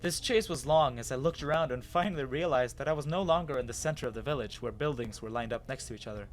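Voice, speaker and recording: A man giving an expressive, dramatic first-person reading of a story as its narrator. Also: mid-range voice, fast talker, slight background noise. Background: music.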